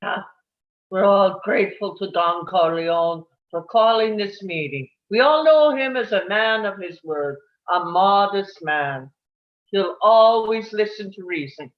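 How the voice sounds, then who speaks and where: A man playing a smooth-talking mafia don in a live script read, measured and deliberate. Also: high voice, animated, roomy sound, lo-fi sound. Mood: flattering.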